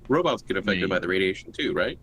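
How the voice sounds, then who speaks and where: Man, slightly deep voice, casual tabletop player chat, faint background noise. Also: animated, fast, a bit bright.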